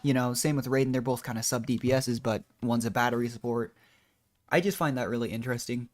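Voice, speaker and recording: A man's voice: mid-range voice, steady tone, fast, a little mellow. A man doing casual, rambling gameplay commentary as a streamer, thinking aloud in a modest, unsure way. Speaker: video game streamer.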